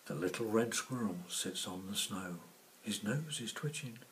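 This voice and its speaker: A man reading a children's storybook in a hushed, measured manner. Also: on the low side, expressive. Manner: hushed, measured, often dropping to whispers. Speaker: author reading own story. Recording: faint background noise.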